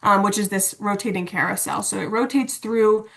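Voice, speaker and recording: A woman with a mid-range voice presents a software demonstration as an instructional designer. She explains each step informally and conversationally, as on a webinar video call. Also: mid-range voice, moderate pace, vocal fry.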